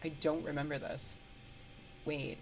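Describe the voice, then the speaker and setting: A woman hosting a casual livestream chat, thinking aloud in a hesitant, halting way into a close microphone. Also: low-pitched, level tone, over music. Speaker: livestream host. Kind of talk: casual livestream chat, answering viewer comments. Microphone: close binaural microphone.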